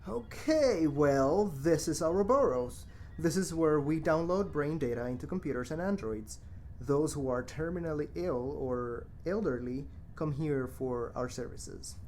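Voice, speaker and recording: A man's voice: a little high, animated, unhurried, a little mellow, a little hiss or hum. A man playing a guarded, matter-of-fact morgue technician who is grieving a friend, in an audio drama.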